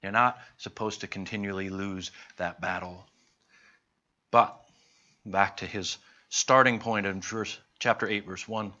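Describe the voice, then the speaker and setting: Guy preaching in a bible class, bright voice, talks like a chat, kinda muffled lo-fi sound. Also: fairly low pitch, fairly even intonation. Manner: earnest, conversational, slows down to stress points. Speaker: pastor teaching a Bible class. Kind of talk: sermon-style Bible teaching. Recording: dull lo-fi recording.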